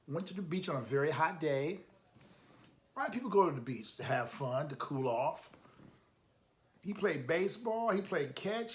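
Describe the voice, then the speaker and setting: A man hosting a children's storytime, reading aloud and chatting to young listeners in an animated, encouraging way, repeating key phrases. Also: a little high. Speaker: host of a children's storytime, youth club coach.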